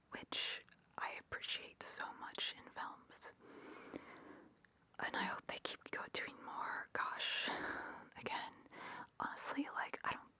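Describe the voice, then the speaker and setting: A woman rambling in a breathy whisper for ASMR, geeky and opinionated, speaking close to the microphone. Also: fairly high pitch. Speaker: ASMR creator.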